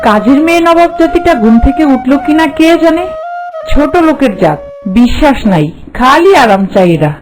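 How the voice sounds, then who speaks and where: A woman's voice: high voice, expressive, normal speed, over music, poor audio. A woman voicing a haughty, bossy, hard-hearted mistress of the house in a Bengali cartoon, with a nagging, contemptuous manner. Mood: grumbling, contemptuous.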